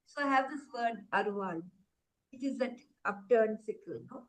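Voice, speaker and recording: A woman's voice: on the high side, animated, normal speed, poor audio. A woman, a poet on a panel, telling anecdotes casually. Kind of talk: panel discussion.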